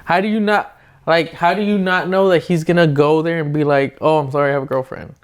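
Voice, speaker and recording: A man's voice: on the high side, steady tone, fairly quick, clear, resonant tone. A man hosting a casual advice podcast, talking and debating in an informal, slangy way. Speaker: podcast host.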